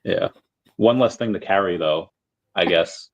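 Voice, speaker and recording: Man, deep voice, podcast host, muffled lo-fi recording, casual restarts. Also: creaky, slight background noise. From United States. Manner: friendly, halting questions with restarts. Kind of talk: casual interview chat. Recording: dull lo-fi sound.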